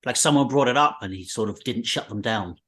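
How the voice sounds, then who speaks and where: A man's voice: medium pitch, brisk, a bit bright. A man co-hosting a book podcast in casual, conversational chat. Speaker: book review podcast co-host.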